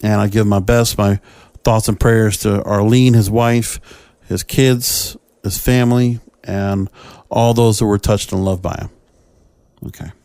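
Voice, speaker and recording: A man speaking as a podcast host, giving solo commentary in halting, short phrases. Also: lowish voice, steady tone, darkish tone, no echo, clean studio sound.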